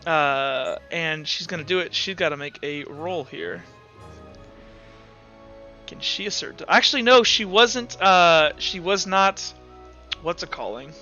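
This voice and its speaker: A man's voice: a little high, takes it slowly, bright, forceful tone, muffled recording, soft background music. A man working as game master, casually improvising narration for a tabletop roleplaying game.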